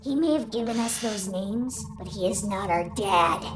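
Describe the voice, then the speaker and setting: A woman's voice: mid-range voice, moderate pace, lightly acted. A woman voicing an assertive, angry young alien who leads her siblings, bossy and confrontational. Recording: roomy sound with music behind.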